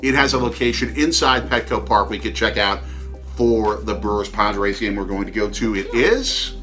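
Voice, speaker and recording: A middle-aged man co-hosting a food travel vlog in an upbeat, semi-scripted way, leaning on key words with drawn-out emphasis. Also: fairly low pitch, pushed and bright. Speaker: travel food show host, father co-hosting with his child. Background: background music.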